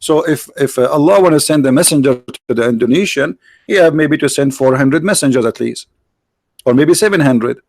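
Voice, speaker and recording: A man's voice: a little high, gravelly, darkish tone, dry recording. Argumentative man. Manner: argumentative, repetitive, non-native grammar, slows down to stress points. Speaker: host debating a caller. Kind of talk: heated debate.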